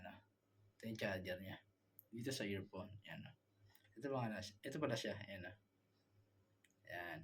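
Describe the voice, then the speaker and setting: A man with a Filipino accent giving a casual product demo as a vlogger, halting and repeating himself as he searches for words. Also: lowish voice, slight background noise.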